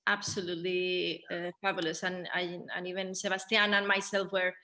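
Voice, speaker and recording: A woman's voice: lowish voice, flat delivery, moderate pace, pushed and bright. A woman, a theatre director, hosting a casual post-show discussion and carefully searching for her words.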